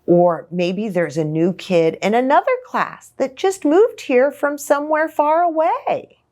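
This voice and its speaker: A woman hosting a children's story time, reading a picture book in an expressive, sing-song way and speaking to young children. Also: high-pitched, measured pace. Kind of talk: animated children's picture-book read-aloud.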